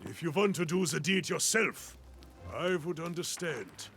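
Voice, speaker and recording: A jovial, eager warrior mentor for a fantasy video game. The voice is heavily creaky and fairly high for a man, with slow, boisterous, swooping delivery. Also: lively intonation, a bit bright, soft background music, exaggerated voice. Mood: grave. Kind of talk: video game cutscene acting.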